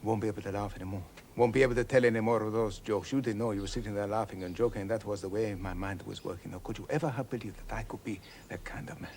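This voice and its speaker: A man playing a proud, aging father who shifts between shouted outrage and hushed, whispered confession, fast-talking, in a radio drama. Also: on the low side, steady tone, slight background noise, close to an everyday voice. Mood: hushed, grave. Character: proud aging Neapolitan father, wounded and brooding.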